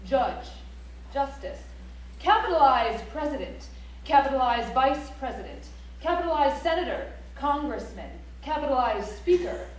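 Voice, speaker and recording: Woman acting a high-voiced rule reciter, echoey hall. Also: animated, normal speed. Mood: instructional. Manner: instructional, precise, declarative. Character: prescriptive female voice reciting capitalization rules. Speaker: stage actor. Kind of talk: recited stage reading of a grammar rulebook. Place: library auditorium stage, recorded from the audience. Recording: echoey auditorium, distant mic, faint noise.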